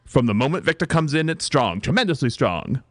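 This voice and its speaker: A man's voice: mid-range voice, sing-song, a little fast, no echo, studio quality, lightly acted. A man playing a vain, melodramatic retired stage actress mother with a British accent, in an amateur read-through of a comedy. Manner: grandiose, melodramatic delivery.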